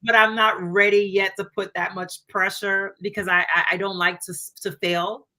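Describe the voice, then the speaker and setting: Bright, chatty woman author on a call. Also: medium pitch, normal speed, rough recording. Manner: chatty, animated, many fillers and acted-out quotes. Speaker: author guest. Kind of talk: casual interview chat.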